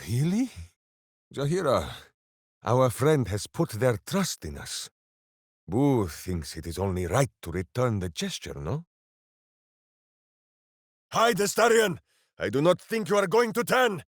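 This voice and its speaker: An actor playing a big-hearted, boisterous, simple-minded heroic ranger in a video game, declaiming theatrically. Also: male voice, mid-range voice, very animated, measured pace, long pauses, no echo, clean studio sound. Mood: booming, heroic. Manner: theatrical, declaiming, speaks of himself in third person. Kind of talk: video game character voice lines.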